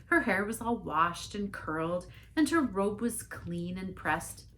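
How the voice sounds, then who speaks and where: A woman reading a storybook aloud as an expressive narrator. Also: medium pitch, a little slow, a little hiss or hum. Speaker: story reader, church staff member.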